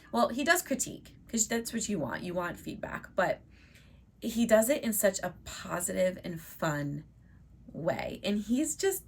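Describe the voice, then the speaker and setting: A woman vlogger chatting casually and upbeat to her viewers, her voice a bit hoarse from losing it. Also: fairly low pitch. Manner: chatty and upbeat, many fillers like "like". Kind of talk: casual chat to camera.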